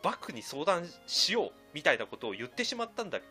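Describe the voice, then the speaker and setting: A higher-voiced man gives a quick, informal history explanation. His intonation swings dramatically as he slips into colloquial quoted lines. Light music plays underneath. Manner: animated explainer, acting out quotes in colloquial speech. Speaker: history enthusiast and panelist. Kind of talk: casual explanation of history on a discussion show.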